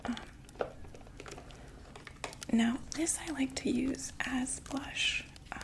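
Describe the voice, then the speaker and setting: A woman whispering a gentle, soothing ASMR roleplay as a friendly makeup saleswoman, often repeating words softly. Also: high voice, fairly even intonation, extremely slow, creaky, background noise. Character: friendly female vampire door-to-door makeup saleswoman.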